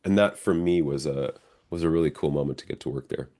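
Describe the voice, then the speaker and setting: A man's voice: a bass voice, fast, warm tone. A middle-aged man, an actor, answering interview questions in a reflective, conversational way. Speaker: stage and screen actor, interview guest.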